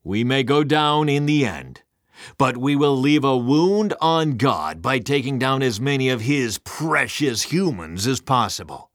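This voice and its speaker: A man's voice: medium pitch, animated, a little slow, fairly bright tone, no echo, clean studio sound, pitched above the performer's own voice. A man voicing a proud, vengeful devil who commands demons in grandiose, bellowed speeches for a dramatic story. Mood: grim, vengeful.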